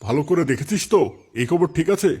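A man's voice: a little high, animated, fast talker, darkish tone, breathy edge. A man voicing a worried village elder in cartoon dialogue, pondering things cautiously. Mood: concerned. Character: worried, deliberate village elder uncle leading a burial.

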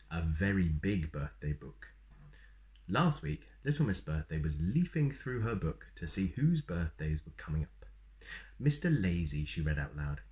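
Male storyteller, thirties, low voice, animated and playful read-aloud for young children. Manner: expressive, playful. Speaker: storyteller reading a children's picture book. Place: small plain room indoors.

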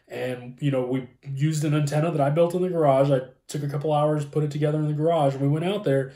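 Mellow-voiced man in an echoey room. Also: a little high. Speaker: co-host explaining ham radio. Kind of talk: casual explainer chat. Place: small room at home, indoors. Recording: roomy, echoey home room.

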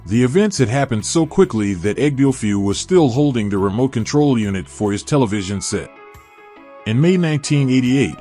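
A man giving a steady, measured scripted documentary narration. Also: mid-range voice.

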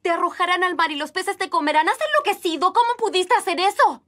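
A woman's voice: extremely high pitch, animated, fast talker, fairly bright tone. An actress dubbing a frightened young harem girl who scolds in alarm. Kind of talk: Spanish dub of a historical series.

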